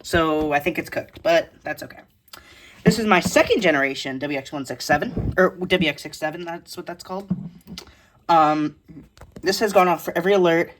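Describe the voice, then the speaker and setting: A woman's voice: low-pitched, moderate pace, a little hiss or hum. A woman giving a casual, blunt, informal show-and-tell tour of a collection as a vlogger. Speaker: vlogger showing a radio collection.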